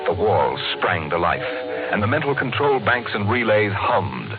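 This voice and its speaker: An actor narrating a science fiction radio drama in a measured, deliberate, theatrical manner, grave with a sardonic edge. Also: male voice, on the low side, sing-song. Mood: dramatic, measured. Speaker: announcer and narrator. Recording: tinny old radio broadcast, loud music.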